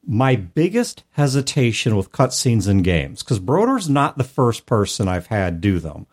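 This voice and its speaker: A man's voice: mid-range voice, sing-song, measured pace, a little mellow. A man hosting a casual podcast discussion about tabletop gaming, explaining at length in a reflective way with hedging asides. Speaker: podcast host. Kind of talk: casual discussion of tabletop role-playing games.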